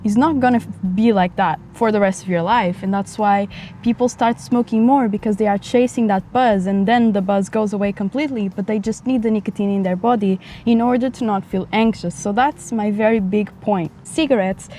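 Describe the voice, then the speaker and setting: A woman in her twenties from Portugal, chatting frankly in a casual vlog, her voice a bit raspy from a dry throat, recorded outdoors near a highway. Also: fairly quick, mellow. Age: 20s. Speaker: vlogger. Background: passing motorcycles and traffic.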